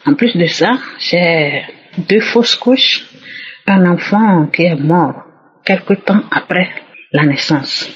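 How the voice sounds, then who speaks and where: A woman's voice: on the low side, expressive, takes it slowly, husky. A woman giving an emphatic personal testimony, repeating her phrases, on a muffled recording.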